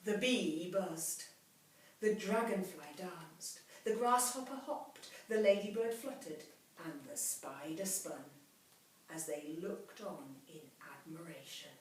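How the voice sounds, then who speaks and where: A woman reading a children's story aloud like a teacher, dramatically, with hushed, drawn-out phrase endings. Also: fairly low pitch, distant mic. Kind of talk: expressive children's storybook narration. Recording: very echoey room, off mic, faint hiss.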